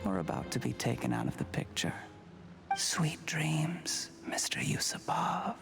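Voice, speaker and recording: An actor playing a cold, calculating, ambitious official who speaks with measured, smug menace in a dramatic video game. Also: male voice, a little high, very animated, creaky, in a whisper, heavily acted. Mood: hushed menace. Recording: game dialogue under loud music.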